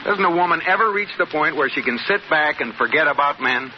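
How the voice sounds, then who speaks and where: Man playing grouchy, teasing husband for vintage radio comedy. Lively inflection, dry quips and complaints. Dull, noisy old recording. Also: a little high, fairly quick, natural voice. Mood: exasperated. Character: grumbling, wisecracking, teasing husband. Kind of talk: 1940s-50s radio sitcom acting.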